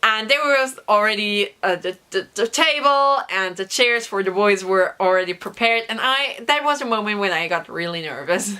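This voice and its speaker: Young woman vlogging, voice hoarse from a cough, rambly and excited, lots of um and like. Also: medium pitch, moderate pace, few pauses. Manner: chatty, many fillers, excited retelling. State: hoarse, recovering from lost voice. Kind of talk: casual storytelling vlog.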